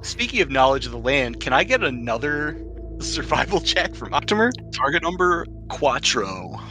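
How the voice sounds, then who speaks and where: A man's voice: mid-range voice, very animated, normal speed, sharp tone, faint music behind. A man narrating a tabletop roleplaying session as the game master.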